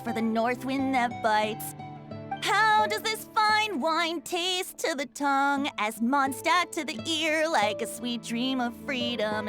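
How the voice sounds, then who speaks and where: A youthful game bard with a high, light voice. The delivery is florid and measured, like a recital, slow and gracious over prominent music. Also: female voice. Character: genial youthful bard, courteous and theatrical. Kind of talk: poetry recital in video game.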